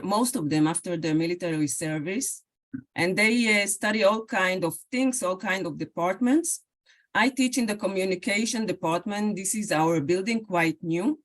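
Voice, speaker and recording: Israeli woman lecturing with halting fillers. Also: mid-range voice. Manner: halting, with many fillers and restarts. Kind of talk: academic lecture over video call. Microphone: video call.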